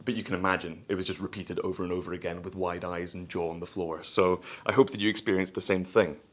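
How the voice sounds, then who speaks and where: A man's voice: low-pitched, little pitch movement, quick pace, a bit bright. A man giving a product launch presentation as a company presenter, in a polished, florid sales manner with hesitant asides.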